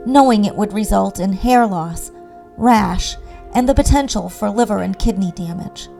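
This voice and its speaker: A woman's voice: medium pitch, vocal fry, warm tone. A woman reading a scripted fairy-tale narration as the storyteller.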